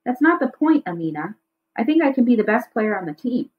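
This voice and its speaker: A woman's voice: on the high side, big pitch swings, brisk, phone-quality sound. A woman voicing a driven, earnest teenage brother in a storybook reading. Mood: determined. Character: hard-working, driven teenage brother, a freshman athlete.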